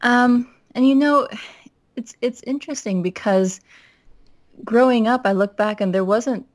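A woman from Minnesota as a podcast guest, telling her family story in a reflective, steady way with frequent "you know" fillers. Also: on the high side, unhurried, no echo, studio quality. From Minnesota, United States. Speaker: pastor's wife and guest. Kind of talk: podcast interview, personal story.

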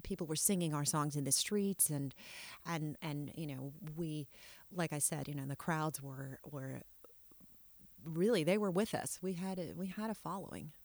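A woman's voice: deep voice, moderate pace, darkish tone, dry recording. A middle-aged woman telling her life story in an interview, reflective and frank, often trailing off and restarting her sentences. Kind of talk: candid personal storytelling.

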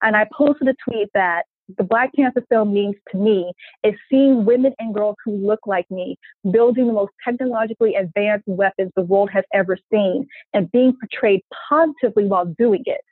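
A woman's voice: mid-range voice, normal speed, slightly rough, dry recording. A woman engineer talking earnestly and with passion as a guest in an interview, heard over a remote line. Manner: earnest, passionate, quotes people in voices. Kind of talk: casual interview talk. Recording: tinny phone-like line.

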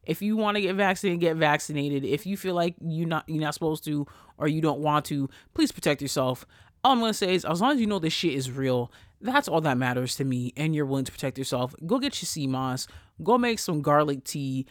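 A man hosting a casual solo podcast, ranting in an animated, profane way that swings between loud bursts and quiet asides. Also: on the high side, brisk, no room sound, clean studio sound. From Haitian family. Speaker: podcast host.

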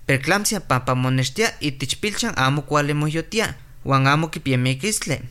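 An actor playing a calm clinic doctor in a radio drama, explaining and reassuring. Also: mid-range voice, normal speed, smooth, natural voice. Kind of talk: radio drama narration and acting. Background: music.

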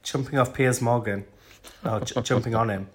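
A man co-hosts a podcast and sounds stuffed up from a cold. He talks through a TV episode in casual, opinionated chat, throwing in quick asides. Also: mid-range voice, steady tone. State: bunged up with a cold. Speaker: podcast co-host.

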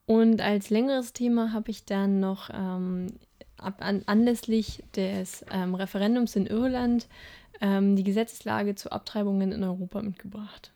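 A woman's voice: medium pitch, gravelly, warm tone. A young woman co-hosting a political podcast in casual chat, hesitant, with frequent filler sounds and restarts. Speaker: podcast co-host.

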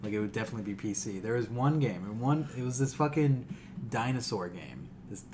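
Blunt, animated male podcast co-host. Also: lowish voice, a little fast, background noise. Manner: blunt, teasing, swears freely. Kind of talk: casual opinionated banter.

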